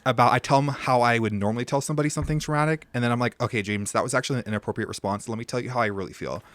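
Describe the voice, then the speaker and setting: A man's voice: medium pitch, racing, a bit bright, no room sound. A man in his twenties, a podcast guest, talking casually and joking and laughing his way through serious stories. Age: 20s. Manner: joking, laughs while telling hard stories. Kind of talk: casual conversation.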